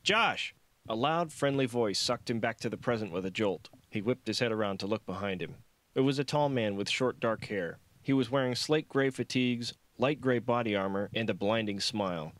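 A man's voice: mid-range voice, normal speed, no room sound. A man reading his own novel aloud as scripted audiobook narration.

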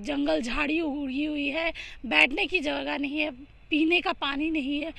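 A young woman reporting news from outdoors at a stadium, holding a handheld mic, talking quickly and earnestly with frequent filler phrases. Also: high-pitched, gravelly, sharp tone. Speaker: field reporter. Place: outdoors at a large open stadium. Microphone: handheld mic in front of her.

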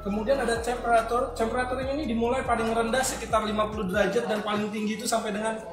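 A young man talks through a casual product demo in a chatty, animated way, filmed on a phone a few steps off. The small room is echoey, and loud music plays behind him. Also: extremely high pitch, fast talker. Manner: chatty, animated, gestures while explaining. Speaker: product reviewer. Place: small indoor kitchen showroom.

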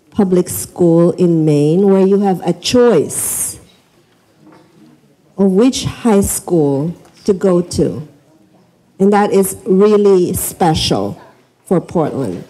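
A middle-aged Filipino-accented woman hosts a parents' event with an informal welcome. Her voice is lowish and mellow, and she speaks at an unhurried pace into a handheld microphone. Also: lively intonation, rough recording. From the Philippines. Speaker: school program director, event host. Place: school library, indoors.

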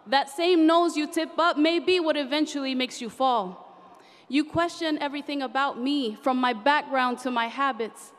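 Young woman, high voice, impassioned rhyming spoken word poet at a podium mic, big echoing convention hall. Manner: rhythmic, impassioned, rhyming delivery. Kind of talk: performed spoken word poetry. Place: large convention hall stage. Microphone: podium microphone.

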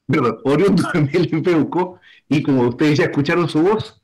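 A man's voice: on the high side, moderate pace, darkish tone, husky, poor audio. Man hosting over a headset. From Chile. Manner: formal, wordy introductions with many fillers. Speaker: host. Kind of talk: political talk show hosting. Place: home room with bookshelves. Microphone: headset on a video call.

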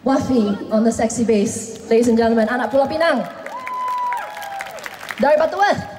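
A woman's voice: fairly high pitch, moderate pace, long reverb tail, crowd noise, background noise. A woman singer chatting cheerfully and laughing between songs on an outdoor rooftop stage, speaking into a handheld microphone through the PA at a live concert. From Penang, Malaysia. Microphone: handheld stage mic through PA. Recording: live concert audio with band music. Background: band and audience.

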